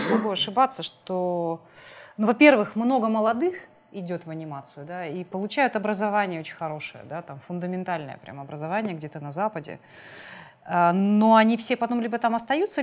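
Medium-pitched woman interviewer. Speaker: interviewer, host. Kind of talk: conversational interviewing. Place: TV studio, seated at desk. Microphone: lapel mic.

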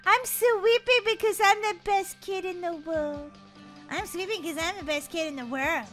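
A woman's voice: high-pitched, lively intonation, moderate pace, over loud music. A woman voicing a playful, whiny, sassy young girl in an exaggerated childlike manner, role-playing over a video game. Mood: mocking, sulky. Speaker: gaming channel host.

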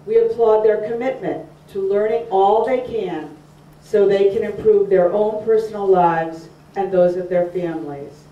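An older woman delivering a formal, scripted speech in a measured way. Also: medium pitch. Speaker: program speaker. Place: community room, at a podium. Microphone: podium mic, far camera. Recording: dull, echoey room from far off.

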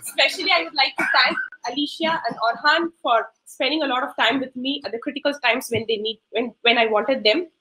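A woman's voice: sits high, brisk, few pauses, background noise. A woman presenting her coding project on a video call. She laughs often and speaks in an earnest way. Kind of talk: online presentation talk.

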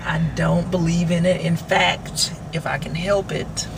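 A woman's voice: on the low side, flat delivery, measured pace, creaky. Joking woman talking while she drives. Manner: playful, candid, self-mocking. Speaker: vlogger. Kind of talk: casual chat while driving. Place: inside a car on the road. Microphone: camera mounted in the car. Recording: car road noise behind.

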